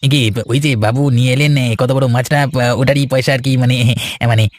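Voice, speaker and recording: Casting: man to play meek cartoon fishmonger, mid-pitched, rapid but stammering and deferential. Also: flat delivery, dry recording, natural voice. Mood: nervous. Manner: hesitant, apologetic, stammering. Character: timid, deferential village fish seller. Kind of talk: cartoon voice acting.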